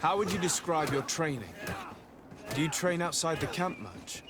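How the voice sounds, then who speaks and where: An actor playing a polite, inquisitive noble diplomat in a video game, with formal, probing speech. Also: male voice, a little high, lively intonation, moderate pace, close to an everyday voice. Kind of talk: video game cutscene acting. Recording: outdoor ambient noise throughout.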